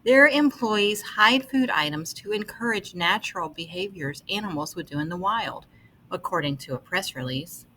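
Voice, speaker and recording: A woman reading an article aloud as scripted narration, with measured, deliberate phrasing. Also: mid-range voice, expressive, bright, forceful tone, a little hiss or hum, rough recording.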